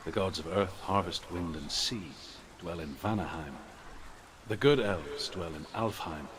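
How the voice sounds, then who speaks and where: An actor playing a solemn storyteller, narrating myths in a measured, grave manner for a fantasy game. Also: male voice, low-pitched, noisy background. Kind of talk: slow mythic narration.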